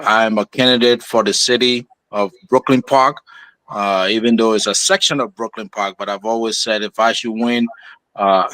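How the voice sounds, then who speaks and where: A man from Liberia with a Liberian accent, a pastor and candidate giving an earnest, polite, measured address as a guest. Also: on the low side, fairly bright tone, no echo, rough recording. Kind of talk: earnest spoken address of thanks and appeal.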